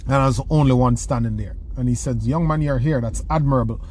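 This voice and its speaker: A man's voice: medium pitch, fast talker, darkish tone, slight background noise. A man with a Jamaican accent from the rural countryside, giving a motivational talk and telling stories, with frequent restarts and repeated words. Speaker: motivational speaker. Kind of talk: motivational talk answering a question.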